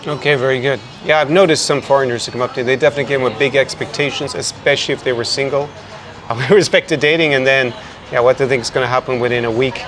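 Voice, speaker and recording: A man hosting a casual podcast interview, chatty and quick with frequent restarts, recorded outdoors in a city. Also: medium pitch, steady tone, a bit bright. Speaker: podcast host.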